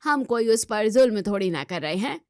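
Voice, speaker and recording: A woman's voice: medium pitch, expressive, normal speed, a little mellow, clean tone, no echo, studio quality, close to an everyday voice. A woman as a stubborn, dismissive mother-in-law. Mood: dismissive, defensive. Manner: dismissive, complaining. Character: stubborn traditional middle-aged mother-in-law. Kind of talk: Hindi family drama voice acting.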